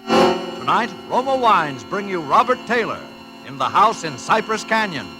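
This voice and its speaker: A man booms out the opening of an old radio thriller in a high, animated voice. Loud keyboard music plays under him, and he sounds a little distant. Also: moderate pace. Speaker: announcer. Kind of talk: 1940s radio show opening.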